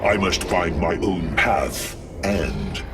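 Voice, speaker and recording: Very deep, gravelly robot warrior. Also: male voice, big pitch swings, distant mic, background noise, heavily acted. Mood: solemn. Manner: formal, measured. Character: huge, stoic robotic warrior, proud and searching. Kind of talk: video game cutscene acting.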